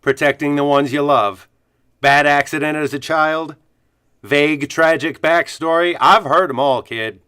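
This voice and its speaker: A man playing a bright, fatherly bartender. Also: a little high, fairly even intonation, unhurried, clear, resonant tone. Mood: worldly, coaxing. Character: gregarious fatherly male bartender to superheroes, wry and worldly. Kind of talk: comic radio play acting.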